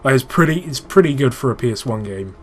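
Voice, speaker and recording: A man's voice: mid-range voice, expressive, quick pace. Man doing sweary gaming commentary, noisy recording. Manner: sweary, enthusiastic explainer. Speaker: host playing and explaining a video game. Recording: clearly noisy room recording.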